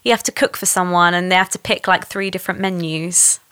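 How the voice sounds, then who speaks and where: A woman's voice: fairly low pitch, steady tone, fairly quick, fairly bright tone, smooth. A woman, a sketch show performer, in casual chat.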